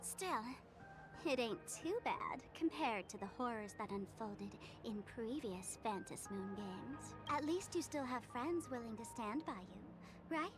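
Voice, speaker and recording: Game casting: young female trickster, high voice, wry, confiding, slightly theatrical, music behind. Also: lively intonation, unhurried. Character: wry, teasing young woman, secretive streamer and trickster. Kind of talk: video game voice acting. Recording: clear music bed behind voice.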